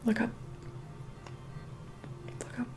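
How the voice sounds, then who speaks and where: A woman playing a reluctant, haughty vampire in a whispered ASMR roleplay, hushed, bossy and begrudging. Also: extremely slow, music in the background. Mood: hushed, instructing.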